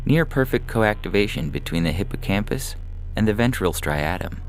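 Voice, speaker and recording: A man voicing a calm, professional male medical technician in an audio short story, explaining procedures in a measured, reassuring way. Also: on the low side, a little hiss or hum, voice pushed up, close to an everyday voice, rough recording. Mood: gentle, guiding. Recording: music bed under the reading.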